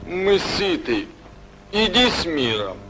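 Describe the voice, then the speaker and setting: An actor playing a sullen, hungry, obedient wolf henchman in an old animated film, blunt and servile. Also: high voice, slow pace, heavy background noise, full character voice. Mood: gruff indifference. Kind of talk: 1940s Soviet animated film acting. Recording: echoey old film soundtrack, loud music.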